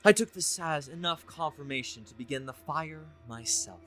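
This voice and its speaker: A man's voice: fairly high pitch, moderate pace, a bit bright, soft background music, natural voice. A man playing a smug, deluded college student who thinks he is the Joker, in a pompous, theatrical story reading. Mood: bitter, fervent. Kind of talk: dramatic first-person story reading.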